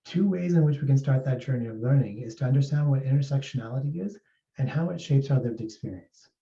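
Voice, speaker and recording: A man from East Africa giving a measured, explanatory workplace presentation as an HR speaker. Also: mid-range voice, expressive, vocal fry, mellow. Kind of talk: presentation talk. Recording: roomy, distant, dull sound.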